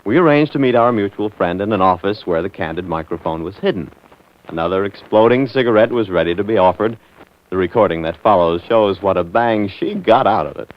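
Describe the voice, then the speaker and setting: A man's voice: lowish voice, brisk. An American man hosting and narrating a scripted 1940s radio program. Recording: dull old 1940s radio recording.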